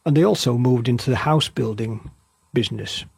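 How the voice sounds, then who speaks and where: A man with a husky, creaky voice giving measured documentary-style narration of local history. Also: mid-range voice, no room sound.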